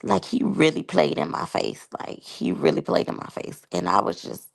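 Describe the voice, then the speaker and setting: A woman's voice: deep voice, huge pitch swings, moderate pace, gravelly. A woman in her thirties reviewing a reality show and sharing personal stories in a candid, chatty way, talking straight to her viewers. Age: 30s. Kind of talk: casual review and personal storytelling.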